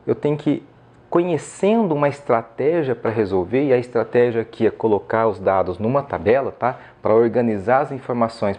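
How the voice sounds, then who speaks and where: A man explains a math problem step by step. He often pauses to check in with his students. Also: mid-range voice, a little mellow. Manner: frequent "tá?" and "ok?" check-ins, step by step. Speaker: math teacher.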